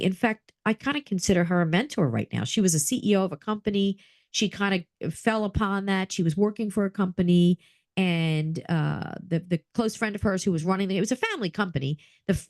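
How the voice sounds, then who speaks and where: A middle-aged woman co-hosting a casual chat with a friend, telling animated stories and laughing often. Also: mid-range voice, no echo, studio quality. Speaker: podcast co-host.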